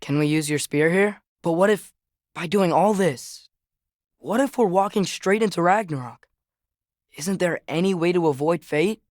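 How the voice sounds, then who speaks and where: A man's voice: sits high, moderate pace, darkish tone, dry recording, lightly acted. Earnest teenage boy adventurer, acted by a man, dry close recording. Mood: worried, questioning. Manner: casual, curious, wry asides. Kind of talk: naturalistic video game dialogue.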